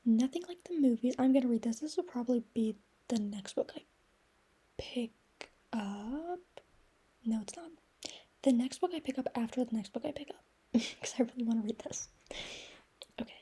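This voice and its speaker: A woman's voice: a little high, expressive, normal speed, long pauses, slightly rough. A young woman chatting casually about books, often half-whispering, with a voice worn down by a coming cold. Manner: soft, often whispery, gushing. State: losing her voice, getting sick. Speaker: book vlogger. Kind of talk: casual chat, reviewing books.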